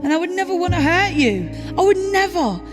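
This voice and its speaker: A woman acting as a devoted girlfriend who is deeply hurt, in an audio play. Her voice is high, with music playing underneath. She pleads emotionally and turns indignant with her partner. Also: big pitch swings, fairly quick. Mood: tender, hurt. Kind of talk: dramatic audio play dialogue.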